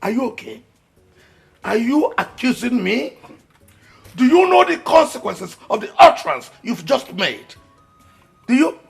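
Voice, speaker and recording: An actor playing a scheming uncle who speaks slowly and deliberately. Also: male voice, very high voice, sing-song, faint music behind, exaggerated voice. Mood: indignant. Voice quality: gravelly, slow and deliberate. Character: scheming uncle pushing a deity on his kin.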